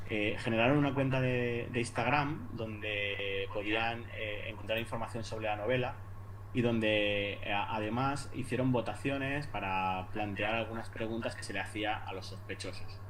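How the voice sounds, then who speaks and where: A man with an accent from Spain giving an informal academic presentation, haltingly, repeating words and adding little tag questions. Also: fairly low pitch, steady tone, a bit bright, low-quality recording. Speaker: university lecturer presenting at an academic conference. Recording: noticeable background noise.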